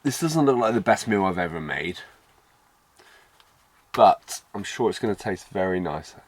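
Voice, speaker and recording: Guy with a cold, raspy and lowish, just rambling on casually to the camera in his van. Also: measured pace. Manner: relaxed, chatty, rambling asides. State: hoarse from a cold. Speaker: van life vlogger. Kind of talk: casual vlog chat to camera. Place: inside a camper van at a campsite.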